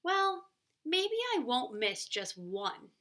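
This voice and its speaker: A woman puts on a vain rainbow fish from a picture book. He is dramatic and reluctant to share, with a high, bright voice and drawn-out words. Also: sing-song, a little hiss or hum, exaggerated voice. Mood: wavering.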